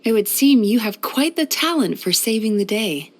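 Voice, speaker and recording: Soft-spoken, wistful yokai. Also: female voice, a little high, expressive. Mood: calm, friendly. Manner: soft-spoken, measured, reflective and polite. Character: gentle, wistful centuries-old yokai woman, a dream-eating healer. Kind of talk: scripted video game character voice-over.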